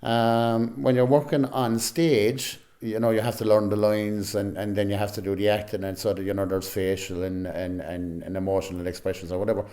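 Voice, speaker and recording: A man's voice: fairly low pitch, flat delivery, moderate pace, few pauses, dark tone. An Irish-accented man speaking casually as an interviewee, chatty and peppering his talk with "you know". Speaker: amateur actor, interviewee.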